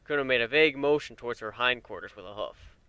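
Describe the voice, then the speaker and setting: A man's voice: medium pitch, quick pace, bright, forceful tone, clear, resonant tone, dry recording, highs cut off. A man narrating a fan fiction story as a scripted dramatic reading.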